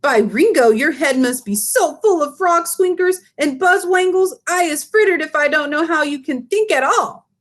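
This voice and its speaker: A woman voicing a big, friendly old male giant, excitable and indignant, with mangled grammar and invented words, in a storybook read-aloud. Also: sits high, moderate pace, hardly any pauses, pitched above the performer's own voice.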